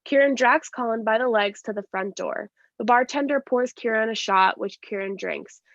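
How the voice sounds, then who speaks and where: A woman's voice: on the high side, moderate pace, fairly bright tone, no room sound. A woman reading scripted stage directions as narration, plainly and step by step, with slight stumbles. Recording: dry, slightly dull lo-fi sound.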